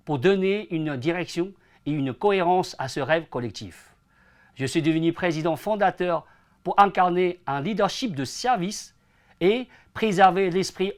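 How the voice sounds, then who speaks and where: Man, forties, from China and France, slightly high voice, interview guest, earnest, measured, prepared formal delivery. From born in China, grew up in France from age ten. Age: 40s. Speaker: interview guest, entrepreneur and association president.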